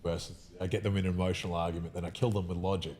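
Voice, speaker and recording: A man's voice: low voice, flat delivery, very fast, darkish tone, a little hiss or hum. A man talking in a casual podcast conversation, opinionated and emphatic, often breaking off and restarting his sentences.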